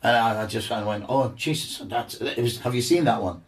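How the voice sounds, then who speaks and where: A man's voice: medium pitch, a little fast, distant mic. A man guest musician chatting casually, enthusiastic and rambling with repeated words. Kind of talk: casual chat.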